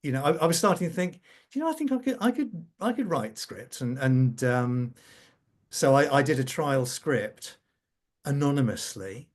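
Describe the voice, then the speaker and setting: Man, middle-aged or older, medium pitch, informal panel talk, anecdotal, halting with restarts. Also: expressive. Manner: halting, repeats and restarts phrases. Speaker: radio drama scriptwriter on a panel. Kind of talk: informal anecdotal conversation at a conference.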